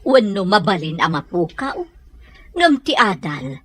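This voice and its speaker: A woman's voice: mid-range voice, very animated, measured pace, fairly bright tone, no echo. A woman with a Filipino Ilocano accent playing a worried, admonishing mother in an audio drama.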